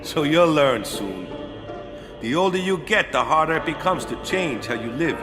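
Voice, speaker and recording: A man voicing a blunt, easygoing island caretaker who ends lines with "eh?", heard over loud background music in a game audio drama. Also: a little high, lively intonation, moderate pace, natural voice. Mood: casual. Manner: laid-back, ends lines with "eh?" and "yeah?". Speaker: caretaker and former guardian.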